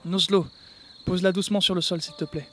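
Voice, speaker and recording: A man playing a cautious young adventurer who quietly takes charge, in a natural, conversational French audio drama style. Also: sits high, fairly even intonation, a little fast, slight background noise. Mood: cautious, directing.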